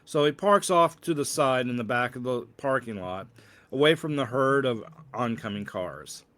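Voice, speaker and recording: A man's voice: medium pitch, animated, normal speed, a bit bright. A man reading his own novel aloud as a narrator, stumbling now and then and repeating words to correct himself. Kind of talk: read-aloud audiobook-style narration.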